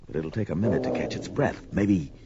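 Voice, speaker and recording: A man's voice: low-pitched, lively intonation, a little fast, background noise. An actor playing a bold, grim barbarian warrior who narrates his own actions melodramatically in an audio adventure drama. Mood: tense, calculating. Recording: dull lo-fi audio drama under music.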